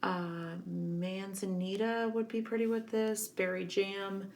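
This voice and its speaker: A woman with a scratchy voice from a cold, giving a relaxed, chatty scrapbooking tutorial. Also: mid-range voice, slow, vocal fry. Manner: relaxed, chatty, many ums. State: getting over a cold, scratchy voice. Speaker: craft instructor.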